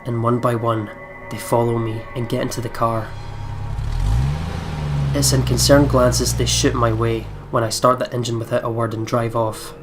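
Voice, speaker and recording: A man's voice: medium pitch, little pitch movement, normal speed, over music. A man hosting a let's play, reading visual novel narration dramatically and chatting casually in between.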